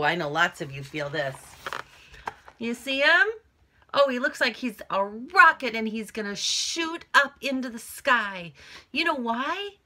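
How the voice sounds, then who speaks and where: Middle-aged female storyteller for young kids, unhurried and expressive, with some creak in the voice. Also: mid-range voice, a little hiss or hum. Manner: expressive, acts out feelings with emphasis and whispers. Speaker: teacher reading to young children. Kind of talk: picture-book read-aloud with chatty asides to children. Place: small cluttered room at home, indoors.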